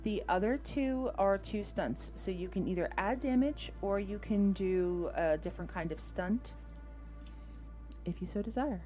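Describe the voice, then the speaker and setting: A woman's voice: medium pitch, a little slow, dark tone. A woman acting as game master in a tabletop roleplaying game, casually talking through rules and thinking aloud. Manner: thinks aloud while checking rules and rolls. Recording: voice over background music. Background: music.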